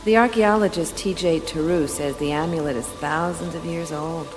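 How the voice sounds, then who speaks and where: A woman's voice: low-pitched, moderate pace, over music, natural voice, rough recording. An actress playing a tough, wry woman private detective in a radio play, speaking in an offhand, slangy way. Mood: knowing, wry.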